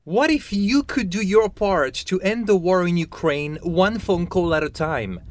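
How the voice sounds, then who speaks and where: Male news reporter, slightly high voice. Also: lively intonation, measured pace. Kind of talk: scripted news narration.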